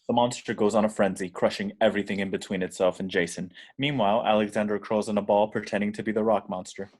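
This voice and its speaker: A man's voice: lowish voice, little pitch movement, quick pace, darkish tone. A man reading out the action descriptions as scripted narration in a table read.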